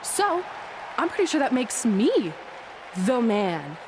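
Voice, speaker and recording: A woman's voice: on the high side, very animated, slow, some chatter behind, a little hiss or hum, low-quality recording. A woman playing a defiant female wrestling champion in a video game, sarcastic and dismissive. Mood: mocking.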